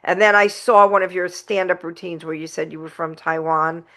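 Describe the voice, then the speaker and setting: A woman with a New York accent co-hosting a podcast, talking casually with halting repeats. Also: lowish voice, fairly even intonation, fairly bright tone. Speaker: podcast co-host. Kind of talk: casual interview chat.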